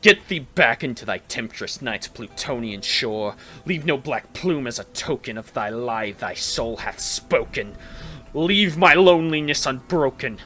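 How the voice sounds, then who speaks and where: A man performs a grief-maddened Gothic narrator, crying out and imploring with theatrical force. The voice leaps well above ordinary speech into loud, drawn-out pleas, with music clearly behind it. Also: medium pitch, voice pushed up. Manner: theatrical, impassioned shouting and imploring. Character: anguished, grief-maddened man pleading with a demonic bird.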